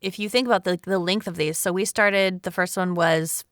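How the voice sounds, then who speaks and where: A woman's voice: lowish voice, fairly even intonation, normal speed, fairly bright tone, dry recording, studio quality. A woman in a casual podcast chat, talking in a chatty, informal way. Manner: chatty, frequent "like" and "you know". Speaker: cast member on a podcast panel.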